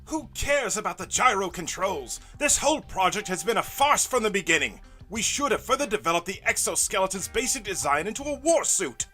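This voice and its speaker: A man's voice: high-pitched, sing-song, fairly quick, few pauses, sharp tone, faint music behind, exaggerated voice. A man playing a morose, hot-tempered young villain scientist who rants with melodramatic contempt in a comic audio drama. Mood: furious.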